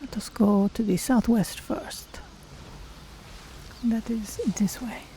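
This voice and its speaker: A woman's voice: on the high side, fairly even intonation, slow, mellow, in a whisper, poor audio. A woman voicing a female Khajiit cat-person adventurer in a game, musing to herself in short phrases. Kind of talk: in-character game stream commentary.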